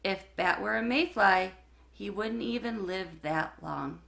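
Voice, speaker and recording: Female storyteller for a kids' book, bright lively voice, gravelly edge. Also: mid-range voice, measured pace. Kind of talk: reading a children's book aloud.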